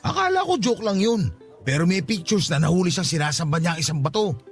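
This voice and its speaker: A man's voice: high voice, sing-song, quick pace. A man playing a gossipy young office worker in a radio drama, talking in a sly, conspiratorial way over a music bed. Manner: conspiratorial, teasing.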